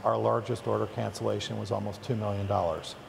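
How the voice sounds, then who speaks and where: A man from the Chicago area, a factory owner, talking deliberately in an interview with frequent ums and uhs. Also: lowish voice, little pitch movement, vocal fry, in a large hall, noisy background. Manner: deliberate, with many fillers. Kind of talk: interview soundbite.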